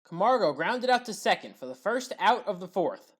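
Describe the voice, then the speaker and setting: A woman recapping baseball highlights in a voice-over as a home-team fan, reading steady play-by-play with wry asides. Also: normal speed. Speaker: sports highlights commentator.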